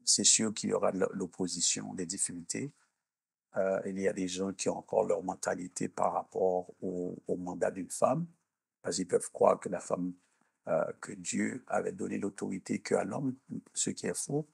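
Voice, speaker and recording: A mature man speaks in a low voice with a rough, husky grain, recorded close and dry. He answers like a minister being interviewed, explaining deliberately. He often pauses to search for the next word. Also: steady tone, no echo. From born in Haiti, grew up in Canada. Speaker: minister and executive coach, interview guest. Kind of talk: measured interview talk with preaching explanation.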